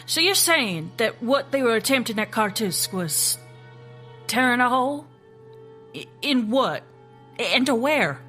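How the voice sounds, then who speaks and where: An actress playing a sharp, skeptical government agent in an audio drama, asking blunt, incredulous questions. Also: female voice, fairly high pitch, sing-song, unhurried, pauses often, soft background music, heavily acted. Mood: skeptical, confused. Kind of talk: naturalistic audio drama acting.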